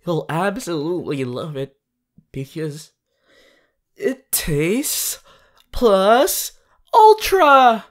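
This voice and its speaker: Woman acting as teenage anime hero boy, ASMR roleplay, eager, affectionate, playful gushing, very animated intonation, unhurried pace. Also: on the low side, heavily acted. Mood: eager, coaxing. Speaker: voice actor in a fan character audio. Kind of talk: ASMR roleplay addressed to the listener.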